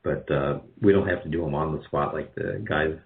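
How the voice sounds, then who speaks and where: Male interview guest, very low voice, casual and halting, heard over dull narrow-band call audio. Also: steady tone. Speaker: guest, radio drama producer.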